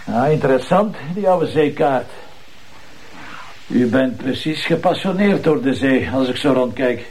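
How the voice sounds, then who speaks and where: A gravelly Flemish police commissioner in a TV crime drama, sardonic and sure of himself. He speaks with dry irony and a husky edge, needling whoever he questions. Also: mid-range voice, lively intonation, measured pace, a little mellow, poor audio. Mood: mocking, probing. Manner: mocking, probing, dryly ironic. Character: sardonic, self-assured male police commissioner, needling a suspect. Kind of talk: naturalistic screen acting in Flemish crime drama.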